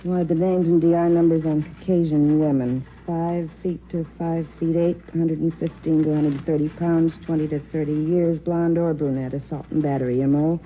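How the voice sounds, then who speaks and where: A woman plays an efficient police records clerk with a low voice. She is matter-of-fact and routine in 1950s radio acting style. The sound is narrow and phone-like, with faint noise. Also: steady tone, normal speed, few pauses, lightly acted. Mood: businesslike. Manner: matter-of-fact, list-reading.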